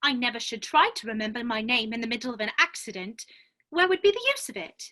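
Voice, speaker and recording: A curious, outspoken young girl, played by a woman, with a high, bright voice that swings up and down. She is emphatic and easily indignant, in the manner of a storybook heroine. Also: very animated, fast talker. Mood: exasperated. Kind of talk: dramatic reading of a classic children's story.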